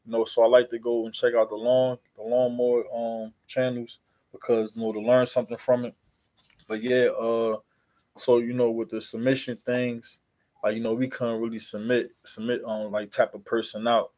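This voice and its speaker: A man with an American accent chatting casually as a livestream host, in a halting, repetitive, rambling way full of fillers. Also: mid-range voice, flat delivery, darkish tone. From New Jersey, USA.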